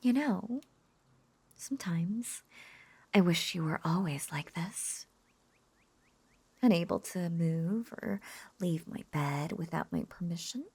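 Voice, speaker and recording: A woman voicing an obsessive, possessive witch in an intimate roleplay audio drama, cooing and coaxing in soft, breathy half-whispers. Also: fairly low pitch, slow, long pauses, exaggerated voice. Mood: possessive, lovesick. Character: lovesick yandere witch, obsessive, possessive, sweetly unhinged.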